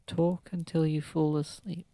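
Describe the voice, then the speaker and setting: An actor voicing a posh, gentle, protective vampire lord in an ASMR roleplay, soft-spoken, husky and unhurried, close to the microphone. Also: medium pitch, little pitch movement, no echo, natural voice. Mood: tender, courteous. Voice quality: breathy, husky, slightly creaky. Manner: soft-spoken, soothing, unhurried, slightly formal. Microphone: close microphone, dry.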